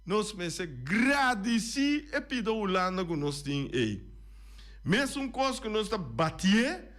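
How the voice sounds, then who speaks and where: A man's voice: high voice, fairly bright tone. A man hosting a radio talk show, making emphatic points and listing items one by one. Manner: emphatic, repeats and lists points. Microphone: studio radio microphone.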